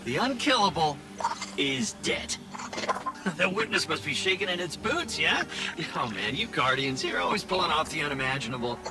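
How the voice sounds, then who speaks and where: An actor playing a roguish, irreverent drifter in a video game, talking in a casual, sly, halting way. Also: male voice, fairly high pitch, animated, close to an everyday voice. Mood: wry, amused. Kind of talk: video game character dialogue. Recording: clear background noise and ambience.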